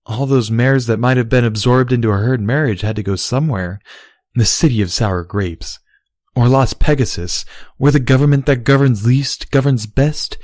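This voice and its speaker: A man voicing a female immortal pony princess, a formal and dutiful younger sister who argues in measured, stilted diction in a storybook reading. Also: mid-range voice, a little mellow, no echo, clean studio sound, voice pushed up, natural voice. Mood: arguing.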